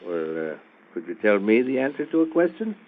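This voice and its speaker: Animated, amazed radio-drama father. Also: fairly low pitch, fairly quick. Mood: testing, astonished. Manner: hesitant, repeating himself in wonder. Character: amazed, eager father of a child prodigy, 1810 New England. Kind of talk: old-time radio drama acting. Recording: thin, narrow vintage radio recording.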